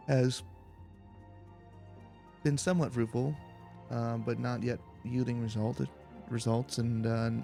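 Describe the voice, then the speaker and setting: Mellow, gravelly man narrating gameplay. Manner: low-key, halting, casual. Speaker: host of a gaming let's play. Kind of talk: relaxed, slow-paced let's play commentary. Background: soft music.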